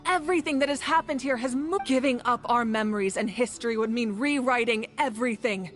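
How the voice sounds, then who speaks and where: A woman's voice: a little high, a little fast, a bit bright, soft background music, lightly acted. A woman voicing a resolute, principled leader defending her people in a video game, speaking firmly, earnestly and articulately. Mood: firm, defiant. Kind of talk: scripted video game voice acting.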